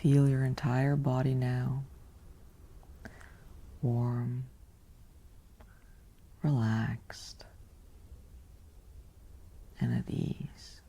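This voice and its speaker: A woman guiding a sleep meditation in a soft, whispery, soothing voice with long pauses, like ASMR bedtime narration. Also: rumbling low, steady tone.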